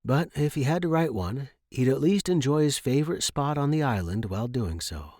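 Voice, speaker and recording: Male fiction podcast host and narrator, mid-pitched, measured and articulate, very dry recording. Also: animated, darkish tone, no echo.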